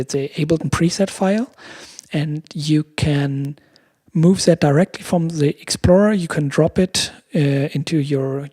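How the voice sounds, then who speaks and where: Mellow-voiced man giving a halting tutorial. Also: on the high side, no echo. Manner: halting, repeats words while searching for phrasing. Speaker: music producer giving a tutorial. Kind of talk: casual explanatory software demo. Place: room at home, indoors. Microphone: close microphone on a stand.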